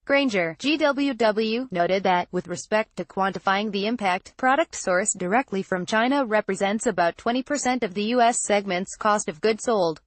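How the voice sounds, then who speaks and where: A woman narrating a scripted financial news article in a measured, formal read-aloud delivery. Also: medium pitch, dry recording.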